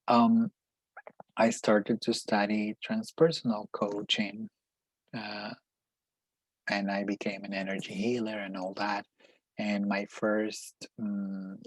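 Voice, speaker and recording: A man's voice: lowish voice, a little mellow, no room sound, low-quality recording. A man with a Peruvian Spanish accent, a native Spanish speaker, sharing personal reflections in a spiritual vlog and searching for his words as he goes. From Peru. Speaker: life coach and energy healer.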